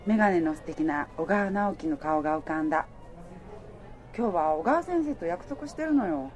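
A woman's voice: lowish voice, animated, moderate pace, a little mellow, faint music behind, lightly acted. A woman playing a romantic, easily flustered working woman, in radio drama dialogue and first-person narration. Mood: warm, reflective.

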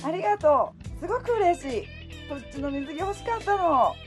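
A woman's voice: very high-pitched, brisk. An actress playing a flustered, prickly woman of thirty-three who narrates her own romance in a radio drama. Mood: delighted. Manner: expressive, switches between inner monologue and dialogue. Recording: music behind the voice.

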